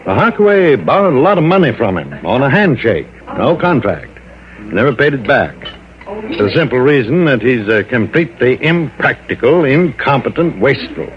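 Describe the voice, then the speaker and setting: A man's voice: medium pitch, very animated, moderate pace, lightly acted. An American man playing a cautious, fussy insurance executive in an old-time radio drama, precise and formal but often hesitant and hedging. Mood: disapproving. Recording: dull vintage radio recording.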